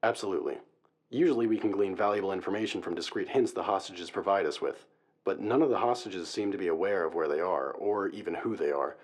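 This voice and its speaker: A man's voice: sits low, fast. A man playing a serious, guarded crisis negotiator in an audio-drama radio interview, speaking formally and analytically. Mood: measured, concerned. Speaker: guest expert, hostage negotiator.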